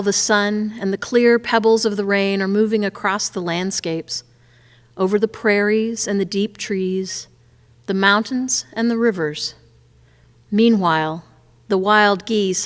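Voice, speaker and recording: A woman reciting a poem in a measured way. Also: medium pitch. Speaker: poetry reader. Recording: long reverb of a church hall.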